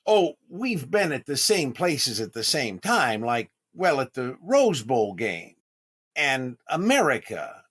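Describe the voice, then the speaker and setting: An actor playing a vain, eager, easily flustered comedian in 1930s radio comedy style, with drawn-out exclamations and stammering. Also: male voice, mid-range voice, big pitch swings, fairly bright tone, slightly rough, exaggerated voice.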